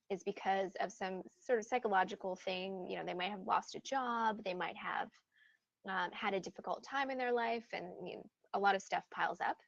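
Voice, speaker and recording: A woman with an American accent, calling in as a guest and explaining things in a relaxed, conversational way. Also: medium pitch, dry recording. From upstate New York. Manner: relaxed, conversational, frequent ums and "you know". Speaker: guest speaker. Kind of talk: conversational interview answer. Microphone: call-in line. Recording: dull dry remote call line.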